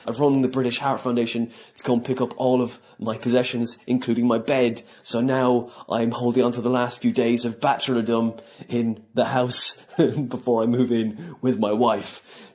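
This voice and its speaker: A man's voice: medium pitch, a little mellow. Male podcast host, mid-range voice, informal and chatty, jokes at his own expense. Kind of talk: casual chatty podcast interview.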